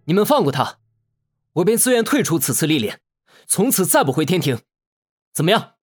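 A gentle young immortal who would give up everything and stays defiant about it. His voice sits a little high and moves with lively, earnest expression, in audio drama style over prominent music. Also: a little fast, breathy edge. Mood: resolute. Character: self-sacrificing young male immortal, gentle but defiant. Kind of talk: Chinese audio drama acting.

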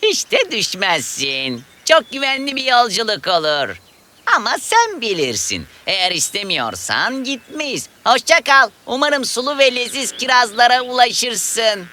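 A woman's voice: fairly high pitch, big pitch swings, measured pace, bright, forceful tone, exaggerated voice. A woman voicing a friendly, curious, chatty wasp in a children's audio story. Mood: reassuring.

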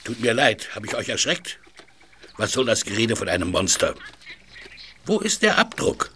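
A man's voice: lowish voice, sing-song, normal speed, sharp tone, slight background noise. An actor as a stern, dismissive man. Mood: suspicious. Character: stern, dismissive grown man scolding boys. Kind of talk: German children's audio drama acting.

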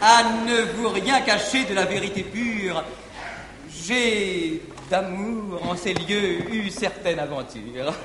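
An actor playing an earnest, lovestruck young gallant in a 1950s verse stage comedy. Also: male voice, high-pitched, slow. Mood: eager. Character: young lovestruck gallant man, eager and open. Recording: old theater recording, echoey hall, faint hiss.

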